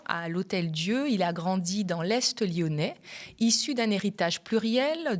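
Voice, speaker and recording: A woman hosting an interview, presenting her guest and putting questions to them. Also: mid-range voice. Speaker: interview host. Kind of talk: scripted introduction and interview questions.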